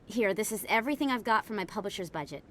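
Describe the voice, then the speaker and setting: An actress playing an earnest, grateful investigative journalist in a video game. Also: female voice, medium pitch, animated, fast talker, fairly bright tone, a little hiss or hum, close to an everyday voice. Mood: grateful, eager. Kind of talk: video game dialogue acting.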